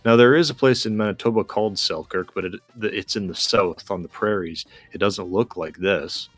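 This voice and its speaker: Low-pitched man chatting over a game. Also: moderate pace, a bit bright, no echo, soft background music. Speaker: gamer co-hosting a gameplay video. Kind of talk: casual chat while playing a guessing game.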